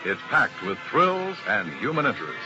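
A man's voice: medium pitch, huge pitch swings, normal speed, muffled recording, over music. A man announcing credits and upcoming shows in formal 1950s radio style. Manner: formal, crisp announcer delivery.

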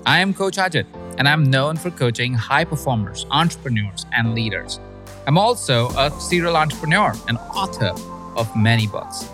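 A man hosting a coaching podcast, reading a measured scripted introduction. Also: medium pitch, lively intonation, over music.

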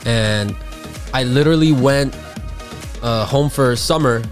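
Relaxed male recording artist from Armenia. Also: medium pitch, a little slow, faint music behind. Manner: relaxed, casual slang. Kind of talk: conversational interview.

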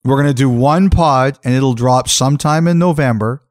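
A man co-hosting a podcast, relaxed and loose, laughing easily after a few drinks. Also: medium pitch, normal speed, dry recording, studio quality. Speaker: podcast co-host. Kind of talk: casual chat.

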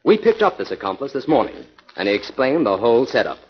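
An actor with an American accent playing a confident, analytical crime-solver in a 1940s radio drama, speaking in an assured, explanatory way. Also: male voice, mid-range voice, sing-song, fast, husky. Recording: dull 1940s radio recording.

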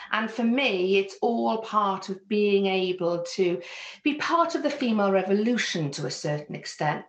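A woman with a Welsh accent, a business coach answering interview questions in an earnest, reflective way. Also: medium pitch. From Wales. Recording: echoey room.

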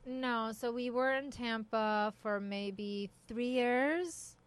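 A woman's voice: on the high side, slow, clear, resonant tone. A woman from Iran, in her thirties or forties, with a slight hard-to-place foreign accent, chatting informally as an interview guest. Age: 30s or 40s. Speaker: podcast guest. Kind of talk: casual conversational interview.

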